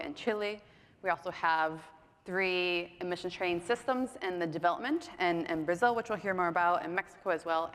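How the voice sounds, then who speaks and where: Woman panel moderator, lowish voice, chatty and self-deprecating, miked in a reverberant conference hall. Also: a bit bright. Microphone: mic on stage. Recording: echoey hall.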